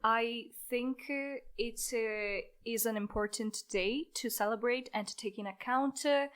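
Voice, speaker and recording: A woman's voice: on the high side, a little slow, a bit bright, clear, resonant tone. A nineteen-year-old woman from Italy, a volunteer guest speaking hesitantly with many fillers in a casual podcast discussion.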